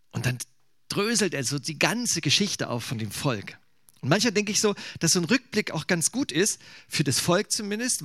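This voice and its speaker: Chatty preacher, higher-pitched man. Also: fairly bright tone, no room sound, clean studio sound. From Thuringia, eastern Germany. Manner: conversational, musing, with fillers like "ne?". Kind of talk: sermon.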